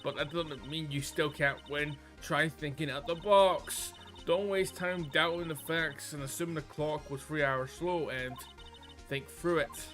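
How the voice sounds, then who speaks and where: A man's voice: fairly high pitch, a bit bright. A man voicing an encouraging, insistent mentor in a courtroom video game, coaching a younger lawyer.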